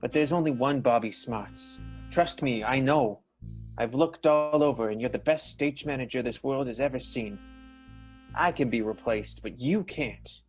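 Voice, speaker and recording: Male actor as earnest, heartbroken theater manager turned werewolf; mid-range voice, halting delivery, radio soap with faint music. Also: medium pitch, natural voice. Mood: earnest, tender. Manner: halting, hesitant, repeating himself. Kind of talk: improvised radio soap opera acting.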